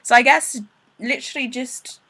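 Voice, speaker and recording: A woman's voice: high voice, fairly even intonation, normal speed, bright, forceful tone. Reflective, self-deprecating woman guest. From Zimbabwe. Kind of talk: casual panel chat.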